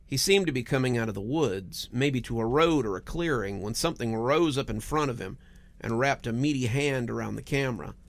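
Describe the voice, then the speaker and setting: A man's voice: medium pitch, fairly quick, gravelly. A man narrating scripted first-person horror stories as a host, with a dramatic storytelling delivery.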